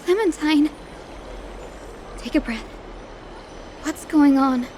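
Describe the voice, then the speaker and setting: High, unhurried, gentle young healer. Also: female voice, slight background noise. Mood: calming. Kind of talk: fantasy video game dialogue.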